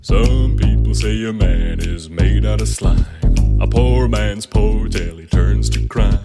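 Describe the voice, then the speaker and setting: A man's voice: fairly low pitch, animated, slow, gravelly. A man singing a gritty outlaw folk ballad. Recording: singing over loud guitar music. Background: plucked guitar accompaniment.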